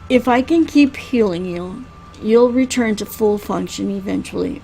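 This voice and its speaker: A woman plays a grave male healer in a visual novel, stern and bitter yet caring. The delivery is measured and earnest. Faint noise sits behind the voice. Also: mid-range voice, vocal fry, warm tone, rough recording. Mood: firm. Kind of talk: visual novel read aloud in character.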